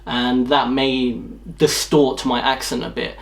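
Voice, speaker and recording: Brief: English YouTuber type, mid-pitched man, loose rambling Q&A, slight slur. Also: little pitch movement, slow. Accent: English, from near London. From England, north of London. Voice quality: slight slur from a jaw impediment. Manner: rambling, lots of ums and restarts. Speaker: YouTube channel host. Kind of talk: casual Q&A chat to camera.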